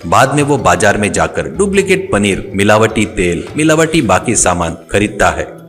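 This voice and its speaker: A man's voice: lowish voice, sing-song, moderate pace, fairly bright tone. A man narrating a scripted moral story as a storyteller. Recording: music under the voice. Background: background music.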